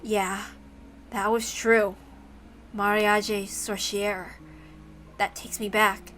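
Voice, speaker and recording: A woman's voice: mid-range voice, level tone, very slow, fairly bright tone, a little hiss or hum. A woman voicing a lonely, wistful young witch apprentice from a visual novel in a confessional way. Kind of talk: visual novel character read aloud. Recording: music behind the voice.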